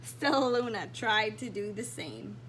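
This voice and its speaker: A woman reading a children's storybook aloud in an expressive storytelling style. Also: mid-range voice, measured pace, a little hiss or hum. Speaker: storybook reader.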